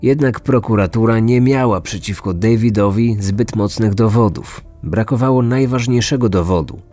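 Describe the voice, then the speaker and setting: A man's voice: fairly low pitch, moderate pace, dark tone, soft background music. A man delivering scripted true crime documentary narration as a podcast narrator.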